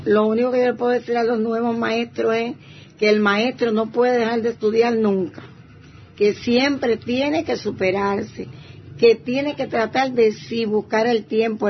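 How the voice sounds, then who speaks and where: Older eastern Cuban woman, muffled recording. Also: a little high. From Santiago de Cuba province, Cuba. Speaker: retired primary school teacher, interviewee. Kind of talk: personal testimony. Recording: muffled, faint background noise.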